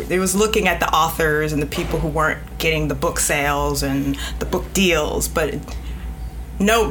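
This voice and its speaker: A woman's voice: fairly low pitch, fairly bright tone, a little hiss or hum. A woman speaking frankly and conversationally as a podcast guest, stressing points through repetition. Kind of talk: casual interview talk.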